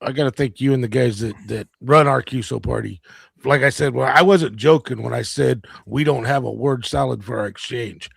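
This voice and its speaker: Middle aged dude, lots of fry in the voice, casual chat, no room sound. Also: male voice, medium pitch, moderate pace, dry recording.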